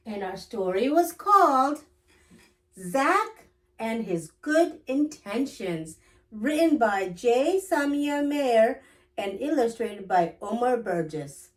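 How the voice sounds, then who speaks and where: A woman hosting a children's storytime, reading aloud as a storyteller. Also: high-pitched.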